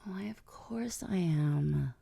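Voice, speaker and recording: A woman's voice: fairly low pitch, slow, vocal fry, mellow, husky, dry recording, studio quality, full character voice. A woman playing a haughty, powerful vampire queen in an ASMR audio drama, with a languid, condescending, sardonic manner. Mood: sly, teasing. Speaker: voice actor in an audio roleplay.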